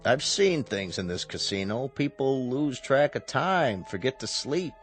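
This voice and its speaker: A man acting in a radio drama as a smooth casino boss, commanding yet soothing and placating. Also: medium pitch, sing-song, a little slow, faint music behind.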